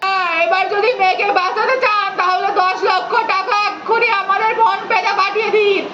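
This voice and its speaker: A woman voicing a blunt kidnapper who makes slow, stern threats over the phone. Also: very high-pitched, flat delivery, bright, forceful tone, lo-fi sound, a faint crowd. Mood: threatening. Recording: echoey room with noise.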